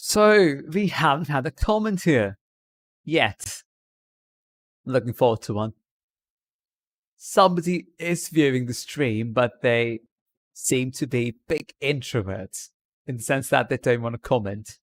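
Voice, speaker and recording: A man's voice: medium pitch, animated, a little slow, long pauses, no echo. Man streaming in a put-on RP British accent. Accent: put-on British Received Pronunciation. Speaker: live streamer. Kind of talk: casual livestream chat to viewers.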